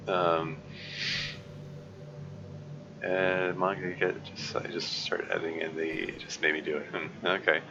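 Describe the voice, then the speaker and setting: A man with a deep bass voice held in its upper register, chatting casually over a game stream and rambling with many restarts and chuckles. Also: vocal fry. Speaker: let's play streamer. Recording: muffled lo-fi mic with steady hiss.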